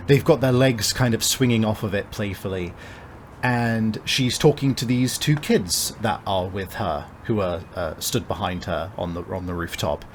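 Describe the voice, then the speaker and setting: A man narrating a tabletop game as game master, conversational and halting, with deliberate pauses. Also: lowish voice, a little hiss or hum. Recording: music playing behind. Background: background music.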